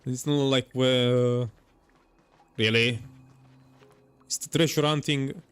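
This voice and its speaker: A man's voice: medium pitch, fairly even intonation, takes it slowly, fairly bright tone, clean tone, dry recording. A man giving casual, joking let's-play commentary as he reacts to a video game. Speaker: gaming streamer. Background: faint game music.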